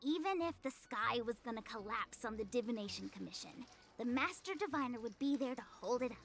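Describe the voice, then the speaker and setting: High, excitable young slacker diviner. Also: female voice, fairly quick, gravelly, soft background music. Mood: playful, excited. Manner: chirpy, excitable, breezy. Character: playful, slacking young woman diviner obsessed with tile games. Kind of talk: video game voice acting.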